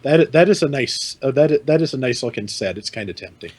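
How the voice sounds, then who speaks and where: A man with a husky, mid-pitched voice chats on a panel in an informal, conversational style. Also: lively intonation, a little fast, darkish tone. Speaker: podcast panelist. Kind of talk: casual chat.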